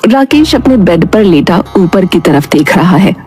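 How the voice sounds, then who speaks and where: Unhurried woman narrator over music. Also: lowish voice, sing-song, a little mellow. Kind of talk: scripted dramatic story narration. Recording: prominent background music.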